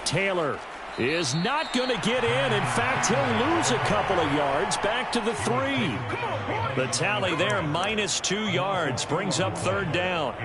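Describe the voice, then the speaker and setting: A man's voice: high voice, animated, takes it slowly, over loud music. A man playing an American play-by-play announcer calling a football video game in clipped, polished broadcast style. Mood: composed, focused.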